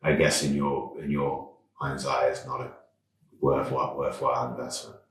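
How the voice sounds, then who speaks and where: A man's voice: a bass voice, little pitch movement, moderate pace, a bit bright, echoey room, distant mic. A man hosting a podcast, asking probing questions and giving brief acknowledgements. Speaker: podcast host. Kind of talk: interviewing, casual chat.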